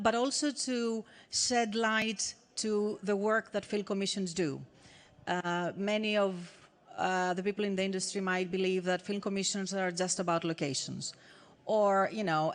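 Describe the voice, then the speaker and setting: A woman chairs a panel discussion at a mid pitch for her sex, introducing each speaker in turn. She keeps a steady pace and often stops on a filler before going on. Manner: steady, with frequent "uh" pauses. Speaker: panel moderator. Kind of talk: moderating a festival panel.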